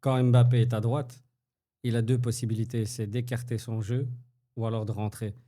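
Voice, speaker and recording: Mellow-voiced man arguing football. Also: mid-range voice, little pitch movement, moderate pace. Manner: insistent, explains at length. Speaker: podcast co-host. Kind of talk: casual football debate.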